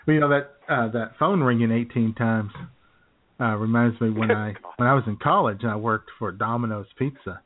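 A man co-hosting a podcast with an ordinary mid-range voice. His sound is thin and narrow, like a phone line. He tells stories in a relaxed way and laughs often. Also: medium pitch, normal speed, a little hiss or hum. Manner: laid-back, laughing storyteller. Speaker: podcast co-host. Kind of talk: casual chat. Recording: tinny phone-like lo-fi audio.

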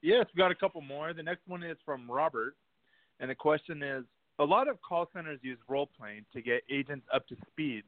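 Male question reader, fairly high pitch, reading aloud over narrow telephone audio. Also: expressive, a little fast, no echo. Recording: tinny, dry phone-line audio.

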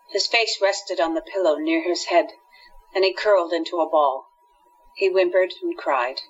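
A woman's voice: fairly low pitch, fairly bright tone, breathy edge. A woman narrating a scripted radio drama, reading scene headings and action. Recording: dull lo-fi audio.

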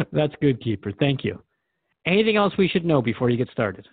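A man's voice: on the high side, big pitch swings, moderate pace, slightly rough, dry recording, phone-quality sound, full character voice. A man playing a thoughtful, friendly radio host who asks probing questions in a conversational radio drama interview. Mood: attentive.

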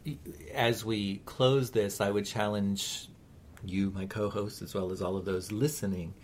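A man co-hosting a talk radio show, speaking in an earnest, measured and encouraging, reflective way. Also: fairly low pitch, steady tone, slight background noise.

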